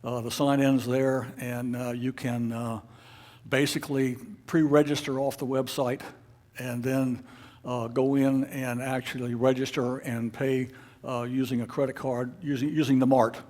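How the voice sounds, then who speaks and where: A man leading a club meeting, giving informal announcements in a halting, unscripted way. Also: mid-range voice, little pitch movement, darkish tone. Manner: halting, hesitant, unscripted delivery. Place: club meeting room with an audience.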